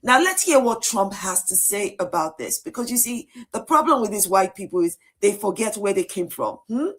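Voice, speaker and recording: A woman's voice: mid-range voice, animated, moderate pace, fairly bright tone. A woman giving indignant, cutting political commentary in a video.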